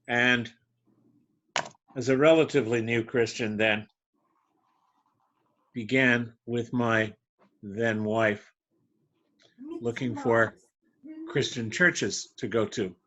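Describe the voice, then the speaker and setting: A middle-aged Canadian man giving a measured, wry impromptu speech at a club meeting. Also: medium pitch, a bit bright, lo-fi sound. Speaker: club member answering a table topic.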